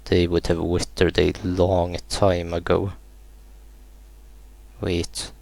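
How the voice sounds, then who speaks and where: A man reading a horror visual novel aloud in a subdued, unhurried way, as a gaming YouTuber narrating a playthrough. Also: sits low, creaky, no room sound. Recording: music behind the voice. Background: game music.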